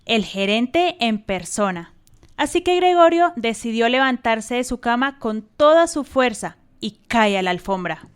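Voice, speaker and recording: High-voiced woman narrating a radio drama. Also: lively intonation, unhurried, clean tone. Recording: faint rain-like hiss.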